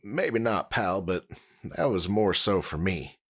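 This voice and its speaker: An actor playing a big, protective, folksy and blunt man with a gravelly voice in an audio drama. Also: low-pitched, lively intonation, takes it slowly, exaggerated voice. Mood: friendly. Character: big, protective, tough but friendly man.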